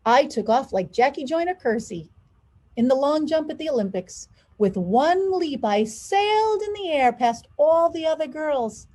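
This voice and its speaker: A woman with a fairly high voice reads a children's story aloud. Her narration is expressive, and she stretches key words with deliberate emphasis. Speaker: school superintendent reading a children's story aloud.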